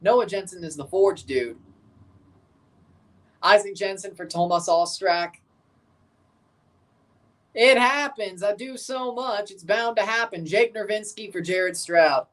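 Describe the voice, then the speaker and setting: A woman's voice: mid-range voice, slow pace, long pauses. A woman hosting a livestream watchalong, giving casual live football commentary and chatting with viewers, often repeating and correcting herself.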